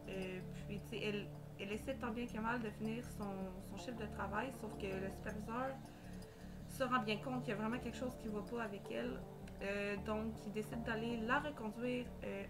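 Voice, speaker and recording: A young Québécois woman tells a true crime story in a loose, chatty way, hesitating and restarting often. Her voice sits a little high. Loud music plays under her the whole time. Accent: Québécois French. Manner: hesitant, many fillers and restarts. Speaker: true crime podcast host. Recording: loud music bed behind voice.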